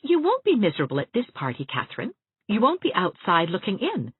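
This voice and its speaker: A bubbly, eager young woman, as a woman reader puts her on, her voice climbing high and swinging all over as she coaxes and enthuses. The sound is thin and narrow, like a phone line, and dry. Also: fairly quick, slightly rough, no room sound, exaggerated voice. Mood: coaxing. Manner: bright, lively, persuasive. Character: cheerful, eager young woman, warm and coaxing.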